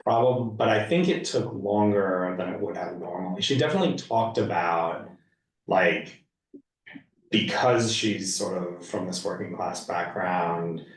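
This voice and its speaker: A man leading a casual group discussion, halting and hedging as he thinks aloud. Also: deep voice. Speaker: reading group discussion leader. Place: indoor room at a group meeting. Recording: echoey room, distant mic.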